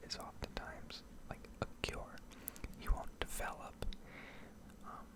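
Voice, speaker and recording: A woman speaking in a soft, breathy whisper close to the microphone, rambling and explaining in a hesitant ASMR style. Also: poor audio. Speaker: ASMR video creator.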